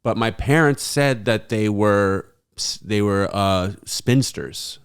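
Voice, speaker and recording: A man with a somewhat low voice, chatting as a podcast guest. He stammers and restarts often. He then delivers dry, understated jokes. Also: slow, clear, resonant tone. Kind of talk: casual comedic podcast chat.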